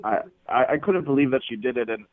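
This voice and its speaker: A man's voice: mid-range voice, lively intonation, fast. A man talking casually as a guest on a phone call, hesitant and a bit sardonic. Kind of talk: casual conversation. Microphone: telephone. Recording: dull, band-limited phone line.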